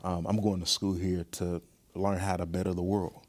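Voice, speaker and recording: Low-voiced, earnest, measured man. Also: little pitch movement, darkish tone. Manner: measured, earnest, pausing to choose words. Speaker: museum professional, podcast guest. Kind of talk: thoughtful interview conversation.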